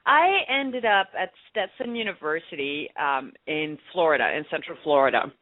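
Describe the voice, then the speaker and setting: A woman's voice: low voice, lively intonation, moderate pace, dry recording. A woman from France, answering questions casually as a guest in an interview. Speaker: actress being interviewed. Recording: tinny, phone-like narrow-band audio.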